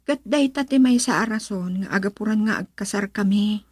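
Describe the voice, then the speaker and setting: A woman's voice: medium pitch, normal speed, darkish tone, husky, no echo, studio quality, lightly acted. A woman with an Ilocano accent playing a hesitant young woman in love, a dutiful daughter in a radio drama. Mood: earnest, careful.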